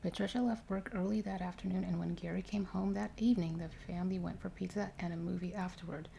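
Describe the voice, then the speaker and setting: A woman reading a ghost story aloud in a hushed, measured ASMR style, with occasional stumbles. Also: fairly low pitch, fairly even intonation, creaky, slight background noise. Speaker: story reader.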